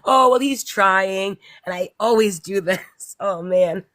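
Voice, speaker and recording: A woman caller with a raspy voice, just awake, rambling and self-deprecating as she talks. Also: medium pitch, a little slow, a bit bright. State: just woken up. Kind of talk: call-in conversation.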